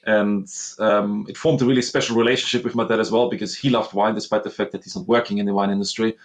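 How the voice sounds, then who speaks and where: A man's voice: on the low side, steady tone, quick pace. A man in his thirties from Austria with an Austrian accent, a guest telling his story earnestly and reflectively in an interview. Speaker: guest, master sommelier. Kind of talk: conversational interview.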